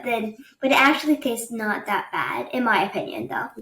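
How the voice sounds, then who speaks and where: A woman's voice: fairly high pitch, sing-song, moderate pace, fairly bright tone. A young woman hosting a podcast interview over a video call from her bedroom, chatty and a bit hesitant, with many ums. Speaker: podcast host. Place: bedroom, indoors. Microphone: video call with laptop mic. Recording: echoey room with faint noise.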